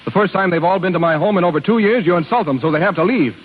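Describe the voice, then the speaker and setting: Rapid-fire irritable husband. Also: male voice, high-pitched. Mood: indignant, accusing. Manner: brusque, indignant. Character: irritable, accusing young husband in a family drama. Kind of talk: 1930s live radio drama acting. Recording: muffled 1930s radio broadcast with music.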